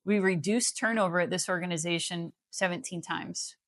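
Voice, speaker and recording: American woman, lower-pitched voice, emphatic and persuasive, interview guest, very little room sound. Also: moderate pace, a bit bright, no echo, clean studio sound. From Missouri, United States. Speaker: healthcare company CEO, interview guest. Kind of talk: live interview, explanatory talk.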